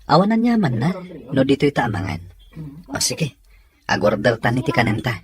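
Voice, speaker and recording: A man playing a reluctant, stingy boyfriend in an Ilocano comedy drama, husky. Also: mid-range voice, sing-song, moderate pace, lightly acted. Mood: grudging.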